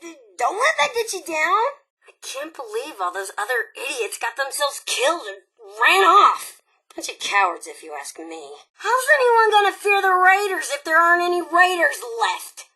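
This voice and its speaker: Game role: bored, bloodthirsty lady robot bandit, bright and forceful, very lively intonation, sardonic and flippant. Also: high voice, full character voice, rough recording. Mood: flippant, bitter. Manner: sardonic, flippant, mock-sweet menace. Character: psychotic female robot raider, bored, gleefully violent, sarcastic. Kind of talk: video game character dialogue.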